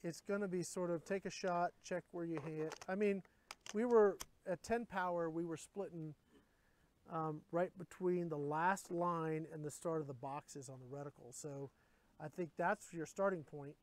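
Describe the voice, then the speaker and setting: A middle-aged man hosting, explaining casually in relaxed talk at an outdoor shooting range, recorded on a camera mic outdoors. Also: on the high side, unhurried. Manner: easygoing, explanatory. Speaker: host.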